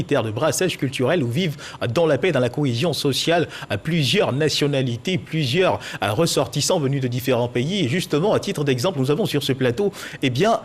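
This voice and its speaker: Brief: male news anchor, husky voice, formal, steady delivery. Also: medium pitch. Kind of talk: news presenting. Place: TV studio.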